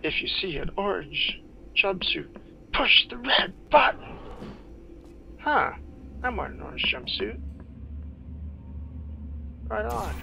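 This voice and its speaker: Gravelly man commentating over a game, noisy. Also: high voice, highs cut off. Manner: halting, thinking aloud. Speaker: video game player and commentator. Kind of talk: casual playthrough commentary.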